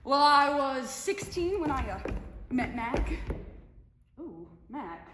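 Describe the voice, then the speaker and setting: A woman's voice: sits high, big pitch swings, slow pace, exaggerated voice. A young woman performing a dramatic monologue as a brash, sparkling silent film comedienne, showy and theatrical, with conversational stammers. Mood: playful, excited. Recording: echoey hall, distant, noisy room.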